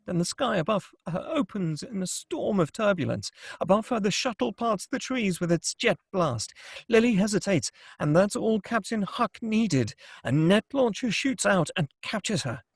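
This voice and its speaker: A man with a husky voice reading scripted screenplay action as the narrator of a table read. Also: sits high, lively intonation, moderate pace, dry recording, clean studio sound.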